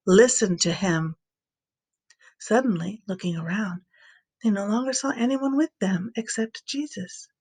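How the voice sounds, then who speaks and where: Expressive woman narrator, higher-pitched voice. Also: moderate pace, husky. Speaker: scripture reader. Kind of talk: scripted audiobook narration of scripture.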